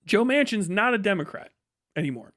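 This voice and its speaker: A man's voice: high voice, very animated, brisk, fairly bright tone, no echo, clean studio sound. A man giving casual, ranting live-stream political commentary in a profane, scornful, emphatic way, often repeating phrases for emphasis.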